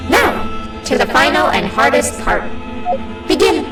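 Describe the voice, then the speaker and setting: A woman's voice: high voice, lively intonation, takes it slowly. A woman playing a strict, menacing schoolteacher in a horror video game, prim, commanding and theatrical. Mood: approving, suspicious. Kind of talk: video game character acting. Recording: echoey, distant, very loud music.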